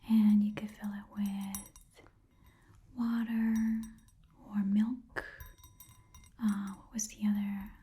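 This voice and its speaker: A woman voicing a friendly, odd little goblin pawnshop keeper in a soft whispered ASMR roleplay, chatty and hesitant. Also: mid-range voice, flat delivery, takes it slowly, long pauses, natural voice. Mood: chatty, musing. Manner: hesitant, rambling, chatty, quirky politeness. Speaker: ASMR performer.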